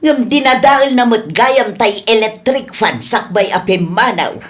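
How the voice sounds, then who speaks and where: Radio drama: woman plays tight-fisted female employer, high voice, bossy, dismissive delivery. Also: a little slow, close to an everyday voice. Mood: defiant. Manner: curt, bossy. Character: stingy, scolding female shop boss who lies. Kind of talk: Ilocano radio comedy drama acting.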